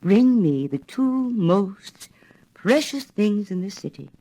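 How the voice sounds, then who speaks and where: A woman's voice: mid-range voice, very animated, slow, mellow, pitched above the performer's own voice, full character voice, rough recording. A woman voicing a majestic, benevolent male God in a fairy tale, stately and solemn. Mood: commanding.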